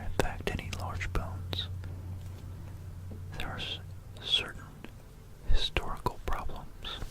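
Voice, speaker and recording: An ASMR creator speaking in a soft, unvoiced whisper, mixing reading aloud with informal, musing asides, close to a stereo microphone. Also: poor audio. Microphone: close stereo binaural microphone.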